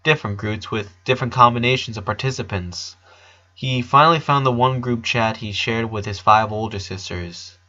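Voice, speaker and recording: Bright-voiced man narrating a story. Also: medium pitch, moderate pace, highs cut off. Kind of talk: scripted narration, fan fiction reading.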